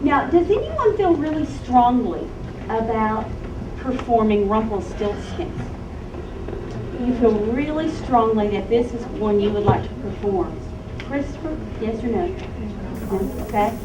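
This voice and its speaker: A woman with a high voice addresses a class of young children as their teacher. She projects loudly and keeps asking them questions. The room is echoey, and clear noise surrounds her. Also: lively intonation, a little slow. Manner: asks children frequent check-in questions. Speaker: school librarian or reading teacher. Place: elementary school library. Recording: echoey, noisy room, distant microphone.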